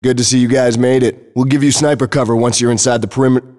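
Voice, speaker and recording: An actor playing a steady military sniper in a shooter game, talking in a calm, clipped, matter-of-fact way. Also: male voice, mid-range voice, quick pace, close to an everyday voice. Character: steady military sniper team soldier on comms. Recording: long reverberant tail.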